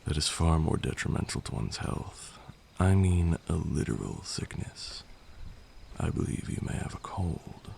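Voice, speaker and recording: A man's voice: very deep voice, lively intonation, a little slow, gravelly, a little mellow, roomy sound, a little hiss or hum, full character voice. A man playing a haughty but caring vampire lord in an ASMR roleplay, speaking formally and sternly. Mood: stern, concerned.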